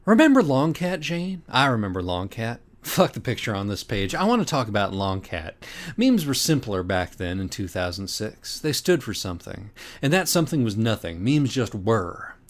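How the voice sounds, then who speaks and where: A man's voice: fairly low pitch, very animated, normal speed. A man reading a parody storybook as scripted narration in a mock-serious, declamatory way. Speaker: storybook reader.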